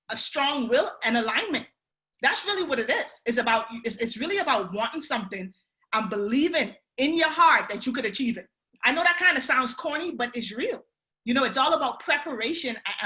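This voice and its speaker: A woman's voice: a little high, pushed and bright. A woman in her thirties from the Bahamas with a Bahamian accent, telling her life story on a mentorship video call in an upbeat, chatty way. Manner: upbeat, chatty, lots of "you know" and "right". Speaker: guest speaker on a mentorship call. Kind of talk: motivational personal story.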